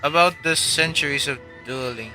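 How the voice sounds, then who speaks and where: A man's voice: a little high, normal speed, bright, forceful tone, faint music behind, rough recording. A man livestreaming a game, giving casual commentary and short reactions as he plays. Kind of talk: casual live commentary while playing.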